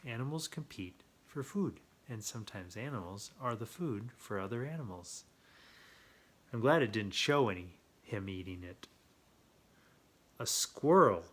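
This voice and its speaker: A man reading a children's story aloud with playful, drawn-out emphasis and chatty asides. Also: fairly low pitch, breathy edge. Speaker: children's story reader and host.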